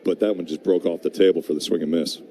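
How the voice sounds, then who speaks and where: A man's voice: sits low, steady tone, quick pace, warm tone. A man giving conversational, explanatory color commentary on a live baseball radio broadcast. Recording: faint background noise.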